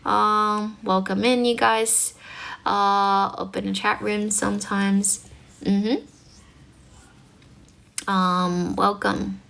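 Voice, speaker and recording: A woman's voice: mid-range voice, flat delivery, extremely slow, gravelly, pauses often, fairly bright tone. A young woman with a North American accent and Chinese as her first language, chatting casually and encouragingly with her livestream viewers as an English tutor, with plenty of "um" and "like". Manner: chatty, encouraging, filler-heavy.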